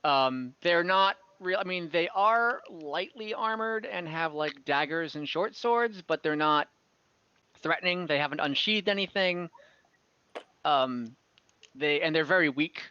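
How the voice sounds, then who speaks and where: A game master narrating an online tabletop game casually, in a halting, improvised way. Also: mid-range voice, lively intonation, bright, forceful tone, clear, resonant tone, no echo. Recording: dull, dry online call audio.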